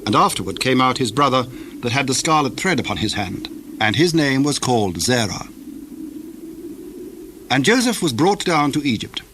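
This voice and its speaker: A man narrating scripture from a script in a measured, formal style. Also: mid-range voice, sing-song, a bit bright. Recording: older recording with faint noise.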